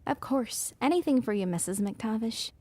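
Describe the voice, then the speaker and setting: A woman's voice: a little high, expressive, moderate pace. An actress playing a demure, agreeable nineteen-year-old society lady in a period audio drama, with a proper, polite way of speaking. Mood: anxious, obliging. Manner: proper, polite, soft-mannered.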